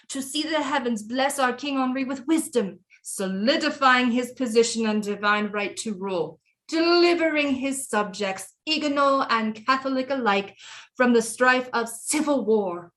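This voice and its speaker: A woman's voice: a little high, unhurried, fairly bright tone. A woman playing an imperious, scheming French queen mother, grand and precise, in an audio drama. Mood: grand, commanding. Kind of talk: stage-style audio drama acting.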